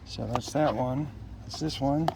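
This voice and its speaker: A man casually talking through an unboxing, unscripted and halting, often musing aloud to himself. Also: medium pitch, creaky, very noisy. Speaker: product unboxer.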